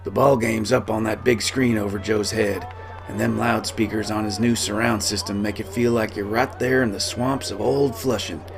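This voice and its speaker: A man's voice: fairly low pitch, fairly even intonation, moderate pace, vocal fry. Folksy male audiobook narrator, music behind. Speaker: author reading his own novel. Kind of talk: first-person audiobook narration in folksy cowboy dialect.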